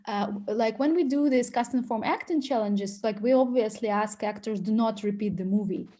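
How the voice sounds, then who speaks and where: A woman's voice: fairly high pitch, brisk, warm tone. A woman hosting a live interview, often jumping in to finish her guest's sentences. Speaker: interview host.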